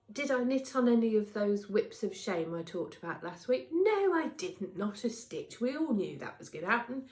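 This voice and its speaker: A woman's voice: medium pitch, a little mellow. This is a middle-aged British woman hosting a casual craft vlog, with noticeable room echo around her. She sounds congested and a little nasal from a cold. She talks in a chatty, wandering way, repeating words for emphasis and tagging little questions onto her sentences. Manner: chatty, rambling, emphatic repetition, tag questions. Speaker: knitting podcast host. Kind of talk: casual chat to camera. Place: indoors at a craft workplace. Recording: roomy, echoing indoor sound.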